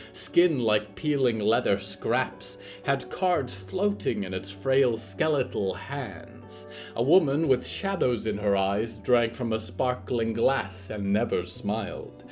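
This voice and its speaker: A man narrating a scripted story in a deliberate, literary and theatrical manner, as for a fiction podcast. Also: lowish voice.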